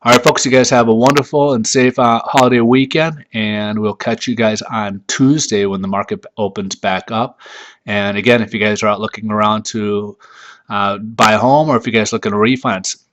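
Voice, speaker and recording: A man's voice: lowish voice, steady tone, moderate pace, a bit bright. Congested man chatting through market news. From United States. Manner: loose, chatty, many ums and uhs. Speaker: mortgage market report host. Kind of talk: casual daily market commentary.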